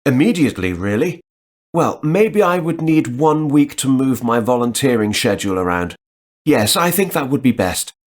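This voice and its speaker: A man acting as a relaxed job applicant in a textbook listening dialogue. He answers at a mid pitch and pauses to think aloud between answers. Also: lightly acted.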